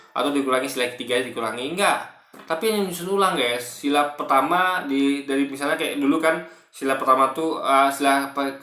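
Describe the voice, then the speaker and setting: A man giving casual spoken commentary in a halting, repetitive way, often correcting himself. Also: mid-range voice, sharp tone. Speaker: video commentator. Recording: echoey room.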